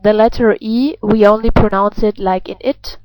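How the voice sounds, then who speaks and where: A woman, a native German speaker with a German accent, giving an instructional pronunciation lesson as a language teacher, enunciating deliberately. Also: medium pitch, fairly even intonation, darkish tone, dry recording, low-quality recording.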